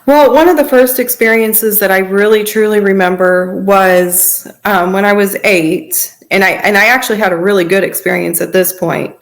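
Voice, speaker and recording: A woman guest telling her personal story informally in an interview, in halting, pieced-together phrases. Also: mid-range voice, fairly even intonation. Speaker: podcast guest sharing personal testimony.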